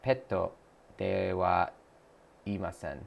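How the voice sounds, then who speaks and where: Low gravelly man streaming casually. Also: slow pace, dull audio. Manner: rambling, thinks aloud with many fillers and restarts; reads viewer messages aloud and answers them. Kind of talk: casual live-stream chat while practicing a language app.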